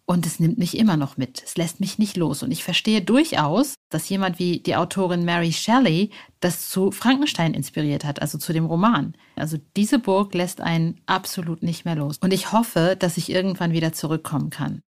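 A woman's voice: lowish voice, moderate pace, few pauses, a little mellow. A woman presenting a podcast as host, speaking directly and personally to her listeners. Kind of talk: podcast moderation, addressing listeners.

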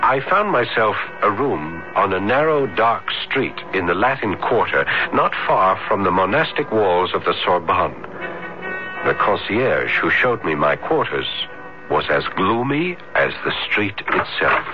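An actor playing a tormented young student, earnest and intense, in a theatrical American radio drama style. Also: male voice, fairly low pitch, sing-song, measured pace, music in the background. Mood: brooding narration. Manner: intense, theatrical, hesitant at times. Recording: muffled vintage radio drama recording.

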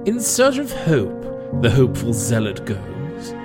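A man gives a dramatic poetry reading at a very unhurried pace. His phrasing is theatrical and deliberate, and his pitch is mid-range. Loud guitar music plays behind him. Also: wildly swooping pitch. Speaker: poetry podcast reader.

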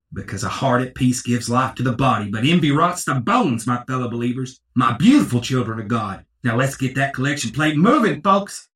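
An actor playing a fiery, money-hungry preacher, delivering a pompous, folksy, theatrical sermon. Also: male voice, medium pitch, a bit bright, lightly acted. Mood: righteous, exhorting. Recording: roomy, distant, music behind.

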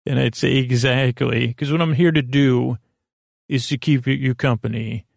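A man with a creaky voice hosting a bedtime podcast, talking in a soothing, meandering, halting monologue with goofy asides. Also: mid-range voice, fairly even intonation, no echo, clean studio sound. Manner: soothing, lulling delivery. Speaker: podcast host. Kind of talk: rambling bedtime monologue to help listeners sleep.

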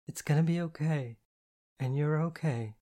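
A narrator putting on a drowsy, gently commanding woman. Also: mid-range voice, moderate pace, close to an everyday voice. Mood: kind, drowsy. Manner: drowsy, reassuring, evenly commanding. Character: Astrid, sleepy, kind, gently commanding woman.

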